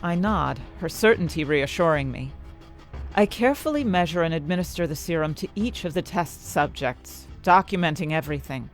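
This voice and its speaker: An earnest, slightly anxious woman chemist in a fantasy audio drama. Her voice sits on the low side and moves around in lively swings, confiding and expressive, with music underneath. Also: normal speed. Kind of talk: first-person scripted narration in fantasy audio drama.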